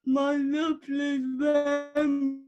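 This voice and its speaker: A woman reading her poem aloud over a video call, in a measured, lingering way. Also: high voice, level tone, darkish tone, faint music behind. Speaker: poet reading. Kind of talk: slow poetry reading.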